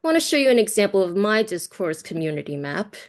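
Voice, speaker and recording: A woman's voice: medium pitch, big pitch swings, quick pace. A woman whose first language is Cantonese, from the United States, leading an online teaching workshop over a video call in a conversational way, often checking in with tag questions. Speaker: workshop presenter.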